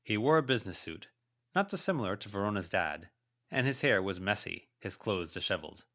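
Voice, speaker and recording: Guy reading a fiction audiobook, fairly low voice, scripted narration. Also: very animated, brisk, a bit bright.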